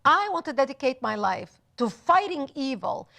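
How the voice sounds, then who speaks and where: A woman's voice: sits high, big pitch swings, normal speed, vocal fry, sharp tone. A woman from Lebanon, speaking as a guest in a TV studio interview, with passionate, emphatic lists. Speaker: guest author.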